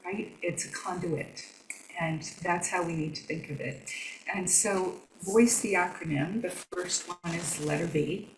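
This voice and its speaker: A woman guest speaking softly and conversationally, reflecting on her own experience. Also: on the low side, measured pace, roomy sound, far from the mic, a little hiss or hum, rough recording. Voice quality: soft voice that does not carry. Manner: conversational, reflective, soft-spoken. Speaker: podcast guest. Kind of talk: conversational interview.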